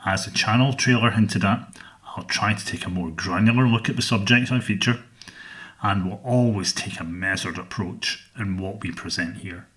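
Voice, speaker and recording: A middle-aged Scottish man hosting a solo podcast, speaking in a measured, earnest way about his interests. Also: fairly low pitch, a bit bright. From Scotland. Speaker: podcast host.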